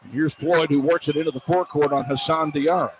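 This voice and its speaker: A man's voice: fairly high pitch, fairly even intonation, quick pace, low-quality recording. A man doing live radio basketball play-by-play in clipped running phrases that build with the action. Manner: clipped running phrases, voice rising to shouts on big plays. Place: courtside in a college basketball arena. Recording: live arena broadcast with background noise. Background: arena ambience, faint noise.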